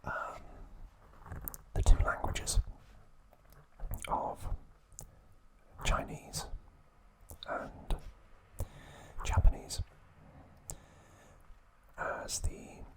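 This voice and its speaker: A young man hosting ASMR in a breathy, unvoiced whisper, halting and hushed, close to the mic. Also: low-quality recording. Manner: slow, hushed, halting with long pauses. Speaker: host of an ASMR channel. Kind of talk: ASMR, whispered informal trivia talk.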